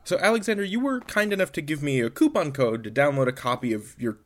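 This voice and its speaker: A man's voice: fairly high pitch, animated, fast talker. A man hosting an interview podcast, asking questions in a conversational way.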